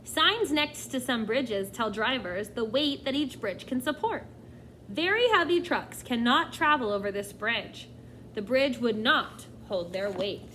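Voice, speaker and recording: A woman's voice: a little high, animated, measured pace, a bit bright, slight background noise. A woman teacher reading a nonfiction book aloud to children, expressively, often addressing them directly with questions and asides.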